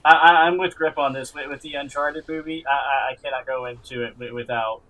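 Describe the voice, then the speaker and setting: A man co-hosting a casual podcast, halting and sweary, often stammering and repeating his words. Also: medium pitch, fairly even intonation, pushed and bright, poor audio. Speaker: podcast co-host. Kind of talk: casual group chat about films and games.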